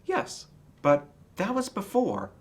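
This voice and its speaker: A man's voice: sits high, wildly swooping pitch, slow pace, pitched above the performer's own voice, exaggerated voice. A man voicing a kindly, simple-minded toy bear in a storybook, earnest, musing and slightly muddled.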